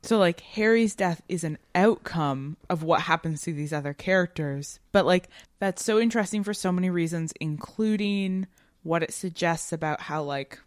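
A woman with a slightly low voice co-hosts a casual discussion podcast. She reasons her way through ideas informally, peppering them with filler words. The sound is very dry and close. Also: normal speed, no room sound. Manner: analytical, informal with frequent "like". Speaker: podcast co-host. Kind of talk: casual conversational literary discussion.

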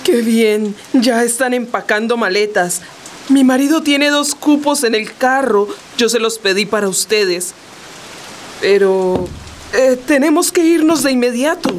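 An actress playing an anguished schoolteacher in a radio drama, speaking in a pressing, dramatic way. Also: female voice, a little high, a little slow, slight background noise, lightly acted. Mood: agitated. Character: anguished, urgent schoolteacher woman warning her friends. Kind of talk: radio theatre acting.

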